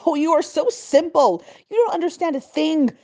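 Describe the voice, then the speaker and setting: A furious, arrogant governor, as a woman narrator voices him booms his scorn in a high, swooping voice that keeps climbing. The tone is mellow, yet the delivery rants and roars. It is storybook drama read aloud. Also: lively intonation, moderate pace, lightly acted. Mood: scornful. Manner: booming, ranting, scornful.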